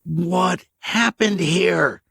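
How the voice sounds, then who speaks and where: A man voicing a tough, cold woman survivor who speaks in clipped, sharp commands. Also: fairly high pitch, very animated, takes it slowly, gravelly, slightly rough, no room sound, clean studio sound, voice pushed up, heavily acted. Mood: hard, blunt.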